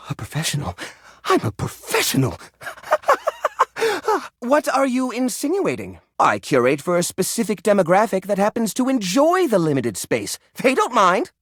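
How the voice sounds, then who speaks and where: An actor playing a flustered, defensive junk-drawer curator in a video game, with a nervous, stammering, theatrical manner. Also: male voice, high-pitched, a bit bright, no room sound, studio quality, heavily acted. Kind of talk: animated video game character acting.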